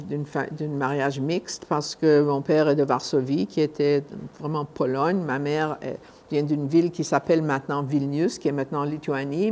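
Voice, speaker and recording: An older woman gives an informal public lecture in a low, soft-edged voice. She speaks conversationally and haltingly, circling back to repeat or rephrase her words. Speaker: guest speaker at a conference.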